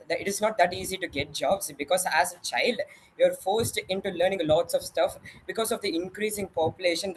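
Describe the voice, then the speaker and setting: A teenage boy with an Indian English accent and a Tamil mother tongue answers exam-style questions. He phrases things formally and carefully, with a few hesitations. His voice is a touch high, with faint noise behind it. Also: low-quality recording. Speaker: student.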